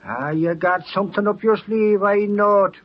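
An actor playing an excitable, nosy, slow-witted male sidekick in 1940s radio drama. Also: high-pitched. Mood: nosy, puzzled. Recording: dull old radio recording.

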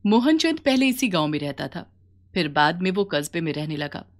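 A woman's voice: lowish voice, sing-song, moderate pace. A woman reading scripted story narration as the narrator of a tale.

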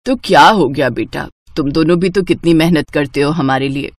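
A woman's voice: sits low, moderate pace, no room sound, studio quality. A woman voicing a homely older village mother in a cartoon story, bossy yet loving, chatty and emphatic. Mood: caring.

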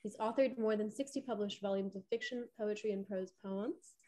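Young woman host, clear and steady, reading prepared remarks over a video call. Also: medium pitch, normal speed. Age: young adult. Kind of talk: scripted opening remarks. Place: home room.

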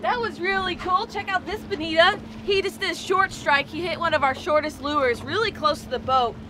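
An American woman in her thirties chatting casually and excitably as a fishing host, recorded outdoors on a small boat. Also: very high voice, normal speed, noisy background. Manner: excitable, exclamatory, informal. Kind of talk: casual vlog chat, explaining to viewers. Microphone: action camera at arm's length or a few feet away.

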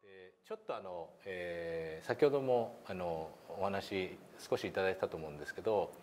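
A man's voice: low-pitched, normal speed, darkish tone, a little hiss or hum. A middle-aged man instructing politely in a meeting room, with many hesitations as he explains. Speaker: pronunciation instructor demonstrating an app. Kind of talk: explanatory lesson with polite questions.

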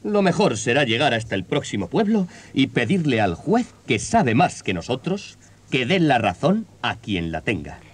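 A greedy rich man blusters and pushes his claim. His husky voice swings widely in pitch. It is an old storybook cassette. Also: very animated, moderate pace. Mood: calm.

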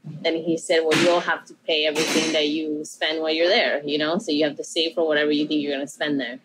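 A woman's voice: low voice, little pitch movement, quick pace, very noisy. A woman from Peru, a chef and interview guest, telling her story in a rambling, self-correcting, upbeat way.

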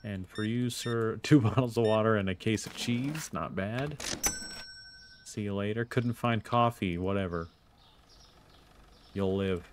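A man's voice: fairly low pitch, unhurried, pauses often, noisy background. A man casually commentating while he plays a store management game, muttering his thoughts aloud in a laid-back way. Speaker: gameplay video host. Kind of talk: casual let's play commentary.

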